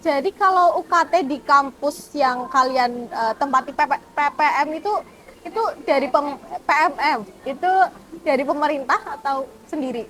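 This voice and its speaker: A young woman hosts a casual talk show. She asks questions in an informal, chatty way. Clear noise sits behind her voice. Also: high-pitched, normal speed, a bit bright, poor audio. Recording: clearly audible background noise.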